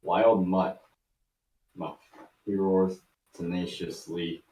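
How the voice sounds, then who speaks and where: Male amateur narrator, deep voice, halting script read, off-mic in an echoey room. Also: level tone, mellow. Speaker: narrator of a fan table read. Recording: roomy, distant mic with faint noise.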